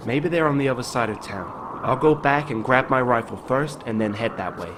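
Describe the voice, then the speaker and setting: A man playing a worried small-town man who tells his story in a video game, gentle when he talks to children. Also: mid-range voice, a little fast, noisy background, lightly acted. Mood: somber, reflective. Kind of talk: narrated game story with acted dialogue.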